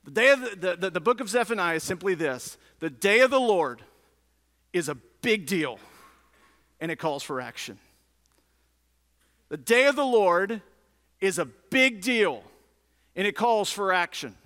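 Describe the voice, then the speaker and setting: High, bright-voiced male preacher in reverberant hall. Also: expressive, a little slow, pauses often. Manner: conversational teaching with emphatic repetition and rhetorical questions. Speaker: pastor. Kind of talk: teaching sermon to a congregation. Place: large indoor room, preaching to a congregation. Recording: reverberant large room sound.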